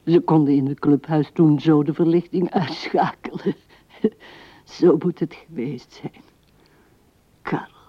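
A woman's voice: low-pitched, steady tone, no echo. Een vrouw speelt een oude weduwe die mijmerend en haperend tegen haar overleden man praat in een hoorspel. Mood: fond reminiscing. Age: older. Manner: musing, halting, with breathy asides. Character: old widow musing tenderly to her dead husband. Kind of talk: radio drama monologue. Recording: dull, band-limited 1970s radio recording.

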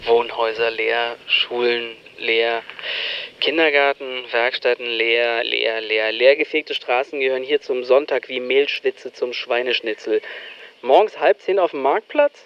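Guy acting a jaded young east german dude, wry deadpan radio play monologue, slightly dull noisy tape. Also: medium pitch, measured pace, close to an everyday voice. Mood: sardonic, weary. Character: disillusioned, sardonic young East German man, aspiring art student. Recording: noisy amateur tape-style recording, limited band.

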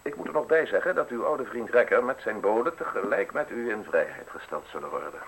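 An actor playing a suave, menacing justice official in a radio drama, speaking in measured, formal, insinuating phrases. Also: male voice, fairly low pitch, animated, creaky, a bit bright, slight background noise, low-quality recording. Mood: calmly confiding. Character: suave, menacing male state justice official offering a deal.

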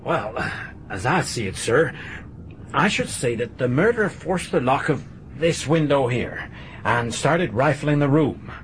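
An actor playing a steady village police sergeant who speaks slowly and deferentially in a radio drama. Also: male voice, medium pitch, slight background noise, natural voice, poor audio. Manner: slow, deliberate, deferential. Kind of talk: 1980s BBC radio drama acting.

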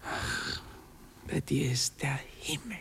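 A male actor playing a lovesick, nervous young man in a radio drama, halting and often whispering. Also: a little high, lively intonation, vocal fry, fairly bright tone, heavily acted. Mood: blissful. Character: lovesick, nervous young man, needy secret lover, easily offended. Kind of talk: German radio drama acting, intimate dialogue.

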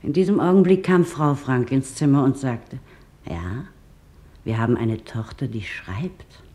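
Dark-toned, gentle female witness. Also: very deep voice, measured pace. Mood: reflective, wistful. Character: loyal female office helper recalling a hidden family. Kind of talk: documentary radio drama testimony.

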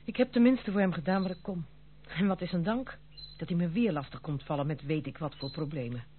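Female actor as stern, protective noblewoman in radio drama: formal, unyielding, lowish voice, thin band-limited sound with hum. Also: a little fast, close to an everyday voice. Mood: troubled. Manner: formal, firm, reproachful. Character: strong-willed, stern young noblewoman protecting her household. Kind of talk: radio play acting. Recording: tinny, phone-like old radio play, slight hum.

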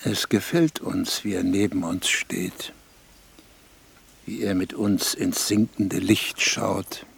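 An actor playing an imperious, demanding prince-elector in a radio drama, speaking in a stately archaic manner with a husky voice. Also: male voice, low-pitched, steady tone, slow pace, heavily acted. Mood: self-satisfied.

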